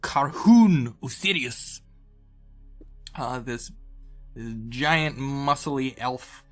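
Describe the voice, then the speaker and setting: A man's voice: medium pitch, expressive, drawn-out words, faint music behind. A man speaking informally and conversationally as a podcast host and dungeon master. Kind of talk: casual banter and game recap.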